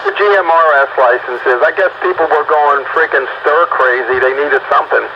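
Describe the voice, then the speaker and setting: Noisy, higher-pitched man in his sixties. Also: moderate pace. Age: 60s. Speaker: radio hobbyist on a group call. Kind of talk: casual chat. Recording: muffled, noisy radio-style audio.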